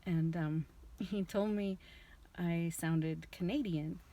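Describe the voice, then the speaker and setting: A woman's voice: fairly low pitch, steady tone, slow pace, mellow. A middle-aged woman chatting casually in a home vlog, telling rambling stories with lots of fillers. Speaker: vlogger reselling scrap. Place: at home, garage and car.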